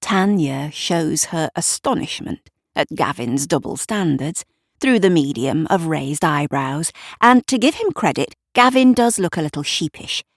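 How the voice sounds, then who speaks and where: A woman narrating an audio drama in a deliberate, wry, conspiratorial storytelling style. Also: sits low, lively intonation, no echo, studio quality. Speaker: writer and narrator.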